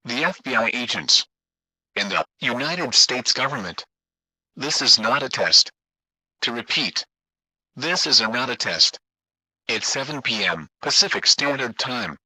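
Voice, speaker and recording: Give a man's voice for an emergency broadcast announcement, formal and stiff. The tone is extremely bright and cutting, and the sound is dry and close, with no room around it. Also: medium pitch, no echo, poor audio. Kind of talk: scripted emergency alert announcement.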